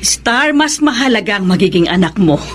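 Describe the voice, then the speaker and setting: Woman acting a pleading middle-aged mom in a radio drama, high n very animated, bit of background noise. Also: moderate pace, natural voice. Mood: insistent, pleading. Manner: pleading, pressing, emotional. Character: insistent middle-aged mother pressing her pregnant daughter to marry.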